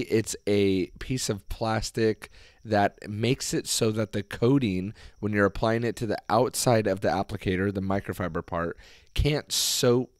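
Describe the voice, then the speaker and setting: A man hosting a podcast, talking casually and hoarsely with his voice nearly gone, rambling with fillers and restarts. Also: lowish voice, dry recording, clean studio sound. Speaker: podcast host. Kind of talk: casual solo podcast talk.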